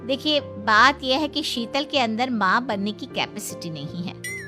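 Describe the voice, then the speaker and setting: A woman playing a matter-of-fact doctor in a cartoon, explaining in a measured way. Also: a little high, lively intonation, pushed and bright, soft background music. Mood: grave, apologetic. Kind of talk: Hindi cartoon acting.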